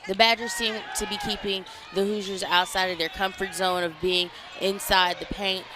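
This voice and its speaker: A man's voice: high-pitched, level tone, normal speed. A man working as a color commentator, giving live basketball analysis. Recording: noisy gym with crowd behind. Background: crowd and bouncing basketballs.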